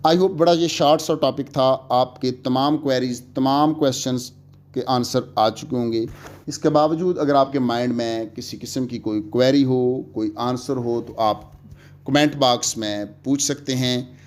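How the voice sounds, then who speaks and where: A man giving a recorded law lecture, explaining slowly and mixing English legal terms into his speech. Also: medium pitch, steady tone, darkish tone.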